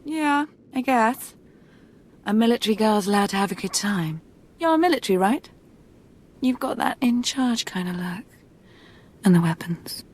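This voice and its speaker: A woman's voice: mid-range voice, lively intonation, a little slow, long pauses, slightly rough. An actress playing a spoiled, brash young rich woman in a video game, flippant and sassy. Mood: coy, bored. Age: young adult.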